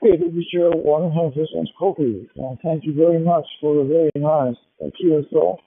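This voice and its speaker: A man's voice: on the high side, fairly even intonation, a little fast, husky, no room sound. A man with a Japanese accent making a courteous, formal ham radio contact over a radio link. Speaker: amateur radio operator. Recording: tinny narrowband digital radio transmission.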